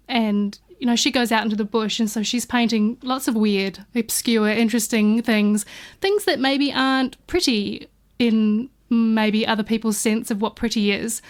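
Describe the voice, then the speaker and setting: A woman's voice: fairly high pitch, clear, resonant tone. A woman from New Zealand in casual podcast chat, telling stories with frequent fillers and restarts. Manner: chatty, warm anecdotes with many fillers and restarts. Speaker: guest artist studio partner. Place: studio.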